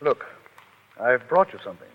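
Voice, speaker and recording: An actor playing a suave, courtly, very wealthy suitor in a 1930s radio drama, with polished, formal, gallant speech. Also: male voice, medium pitch, very animated, moderate pace, slightly rough. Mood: courtly, wooing. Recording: vintage 1930s radio, dull, hall reverb.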